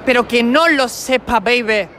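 Guy in his 20s, bright sharp voice, cheeky street quiz host at a convention, handheld mic. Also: extremely high pitch, measured pace, slight background noise. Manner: brash, mocking, playful insults. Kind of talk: teasing street quiz interview. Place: indoor convention hall. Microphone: handheld mic with furry windscreen.

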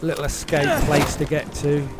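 A man's voice: a little high, monotone, normal speed. A man giving casual gameplay commentary, muttering and thinking aloud as he plays. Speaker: gamer narrating own gameplay. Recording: game music loud behind voice. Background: video game music and sound effects.

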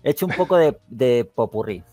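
A man's voice: medium pitch, animated, brisk, dark tone, no room sound, faint music behind. A man from Spain with a Castilian accent, chatting casually and in slangy colloquial terms as a gaming podcast host. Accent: Castilian Spanish. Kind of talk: casual chat.

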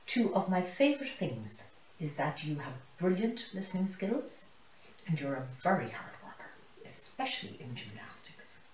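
Lady reading as a kind excited tortoise teacher, very up and down, picture book, echoey room. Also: lowish voice, moderate pace, far from the mic, a little hiss or hum. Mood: warm praise. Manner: enthusiastic, encouraging. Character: kindly, encouraging female tortoise teacher. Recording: roomy, echoey living room.

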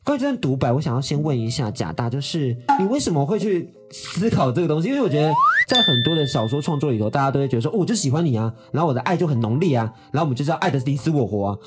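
A man's voice: mid-range voice, sing-song, racing, few pauses, music in the background. A man with a Taiwanese accent, interviewing in a chatty style as a host.

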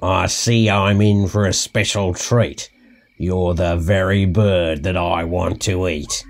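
A man voicing a menacing male bird of prey, deliberate and threatening, in a storybook. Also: low voice, fairly even intonation, creaky, pitched below the performer's own voice, exaggerated voice. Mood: menacing, gloating.

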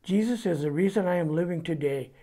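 A man in his seventies with a Californian American accent tells his life story in an interview, plain-spoken and halting. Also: high-pitched, mellow. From California, United States. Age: 70s. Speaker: interview guest giving testimony. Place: indoors before a cloth backdrop.

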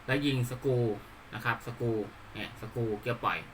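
A man giving an unscripted hands-on tutorial, explaining politely in a halting, repetitive way. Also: medium pitch, fairly bright tone, a little hiss or hum, rough recording. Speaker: hobbyist presenter demonstrating a DIY build.

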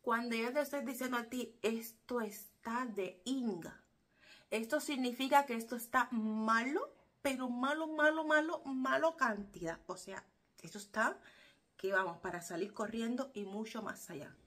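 A woman's voice: fairly high pitch, normal speed, fairly bright tone. A woman in her thirties with a Cuban Spanish accent, talking casually and animatedly to camera from a small room, laughing easily. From Cuba. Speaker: vlogger. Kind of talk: casual explanatory vlog. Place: small room indoors, seated in armchair. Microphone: camera in front, medium close.

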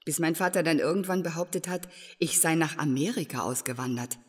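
An actress playing a bitter, resolute young heiress, speaking earnestly in a German audio drama. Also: female voice, low-pitched, steady tone, fairly quick, slightly rough. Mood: aggrieved, recounting. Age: young adult. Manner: earnest, embittered storytelling.

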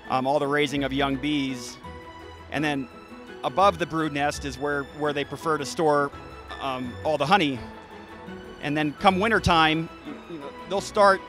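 Brief: thirties male beekeeper, slightly high voice, easygoing and halting, handheld mic outside, soft music underneath. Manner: relaxed, frequent restarts and fillers. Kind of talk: casual explanation to an interviewer. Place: outdoors by beehives in a garden. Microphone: handheld interview mic.

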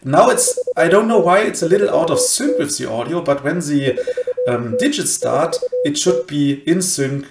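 A man in his thirties giving a casual software tutorial, explaining step by step in a hesitant way into a headset mic. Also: fairly high pitch, animated, normal speed, very noisy. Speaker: host of a cryptography tutorial channel. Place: small room indoors at a computer. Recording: roomy home recording.

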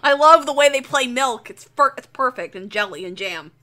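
A woman's voice: high-pitched, expressive, normal speed, bright, forceful tone. A young woman streamer chatting casually while she draws, rambling and jokey with plenty of swearing. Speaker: streamer, artist. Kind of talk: casual livestream chat while drawing.

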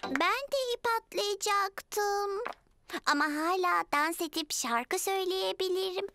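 A woman's voice: very high voice, a little slow, over loud music. A woman voicing a cheerful, slightly bossy little girl piglet in a children's cartoon, childlike and emphatic. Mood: eager, put out.

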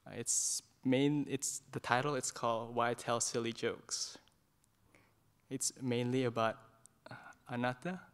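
A man's voice: medium pitch, flat delivery, slow pace, smooth. A middle-aged man from Indonesia, a Buddhist monk, leading an informal book discussion with many fillers and restarts, speaking into a stand microphone in a meditation hall. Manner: hesitant, many fillers and restarts, gentle and soft-spoken. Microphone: stand microphone in front of his chair.

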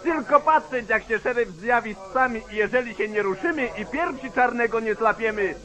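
An actor playing an impatient, agitated mob member, urgent and exclamatory, in an old Polish radio drama. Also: male voice, very high-pitched, moderate pace, pushed and bright. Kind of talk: mid-century Polish radio drama acting. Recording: old 1940s radio recording.

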